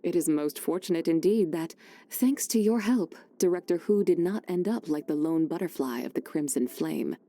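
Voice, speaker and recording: Dark, mellow-voiced serene ancient immortal. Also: female voice, low voice. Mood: warm respect. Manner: formal, measured, genteel old-fashioned phrasing. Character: serene, courteous ancient female adeptus, wise and wistful. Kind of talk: scripted game character voice lines.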